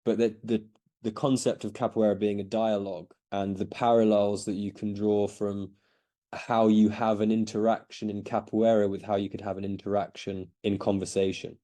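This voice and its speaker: A man's voice: fairly low pitch, level tone, moderate pace, mellow. A man in his thirties who grew up in Belgium, answering interview questions in a casual, hesitant way with many restarts. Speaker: podcast guest.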